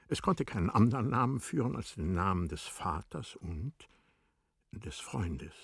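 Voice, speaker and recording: A man reading literary narration for a radio drama in a measured, deliberate manner with dramatic pauses. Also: low voice.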